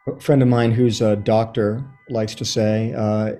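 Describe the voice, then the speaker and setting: A man in his fifties with an American accent, answering interview questions in a thoughtful, halting, storytelling way. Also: on the low side, little pitch movement, mellow, soft background music. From Los Angeles, USA, born in Chile. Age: 50s. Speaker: interview guest, soccer writer and supporter. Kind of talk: reflective conversational interview answers.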